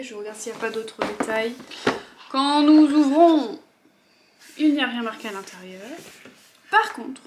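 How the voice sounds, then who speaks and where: A woman's voice: on the high side, a little hiss or hum. Chatty woman unboxing a beauty box. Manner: chatty, with asides and trailing murmurs. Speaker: beauty vlogger. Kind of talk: casual unboxing chat.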